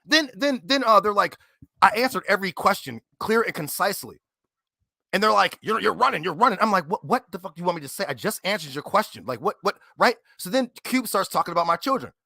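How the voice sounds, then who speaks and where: A man's voice: high-pitched, expressive, fast talker, creaky, pushed and bright, dry recording, clean studio sound. A man from Cleveland retelling a debate, indignant and stammering with many fillers. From Cleveland, USA. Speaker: debater and guest. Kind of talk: casual chat retelling a debate.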